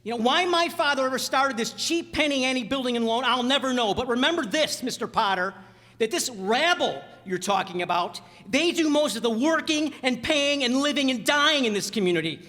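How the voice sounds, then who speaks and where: A man playing an earnest, excitable young small-town dreamer in a radio play, with a stammering, folksy delivery. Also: very high-pitched, normal speed, heavily acted. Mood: indignant. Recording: very echoey hall stage.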